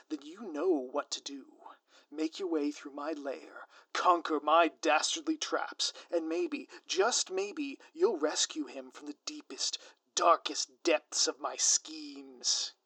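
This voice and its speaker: A man's voice: on the high side, slow, bright, forceful tone, dry recording, exaggerated voice. A man playing a gleefully scheming, grandiose supervillain in an audio roleplay, pompous and taunting with florid, melodramatic delivery. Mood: gloating, taunting.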